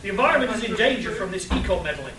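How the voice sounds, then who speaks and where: A man's voice: high-pitched, very animated, rapid-fire, sharp tone, full character voice. A man playing a pompous, over-earnest eco-crusading superhero in a comic radio play, declaiming his lines. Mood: grave. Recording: echoey hall, distant mic, audience noise.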